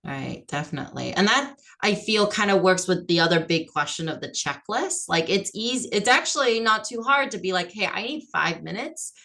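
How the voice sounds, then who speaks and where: A woman's voice: medium pitch, expressive, normal speed, gravelly, hardly any pauses, sharp tone. A woman hosting a casual interview chat, friendly and chatty, with plenty of fillers as she asks questions. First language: not French. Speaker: host.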